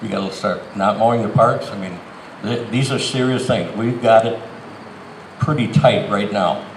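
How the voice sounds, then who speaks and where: A middle-aged man with a low voice. He answers as a council candidate in a plain, halting way. The sound echoes heavily over an outdoor PA, with clear ambient noise. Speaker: city council candidate and incumbent. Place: outdoors in a town park.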